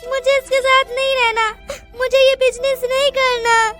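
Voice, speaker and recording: Casting: woman as young cartoon village girl, very high voice, sobbing and plaintive, halting delivery, music underneath. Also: flat delivery, fairly bright tone. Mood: tearful, upset. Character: tearful, hurt young village daughter in a cartoon. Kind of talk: Hindi cartoon moral story dialogue. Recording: music behind the voice.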